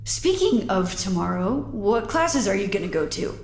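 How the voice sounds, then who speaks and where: An actress playing a casual, upbeat field agent who nudges and encourages in informal, naturalistic audio drama dialogue. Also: female voice, medium pitch, normal speed, vocal fry, a little hiss or hum. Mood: playful, cheerful. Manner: informal, coaxing, animated. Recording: roomy echo, music behind.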